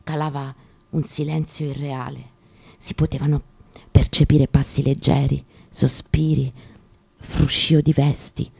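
A woman's voice: rumbling low, little pitch movement, unhurried, creaky, a little mellow, breathy edge. A woman performing a dramatic literary reading in a solemn, declamatory manner, phrase by phrase. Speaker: actress reading on stage.

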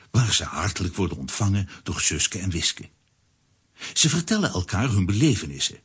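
A man narrating a scripted children's story in a rough, husky voice with animated storytelling. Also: on the low side, heavily acted.

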